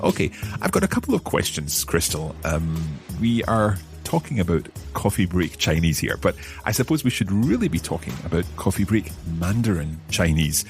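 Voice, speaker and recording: A man's voice: low-pitched, very animated, normal speed, few pauses, faint music behind. A man with a Scottish accent hosting a language lesson podcast as the learner, presenting in a conversational, explanatory way. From Scotland.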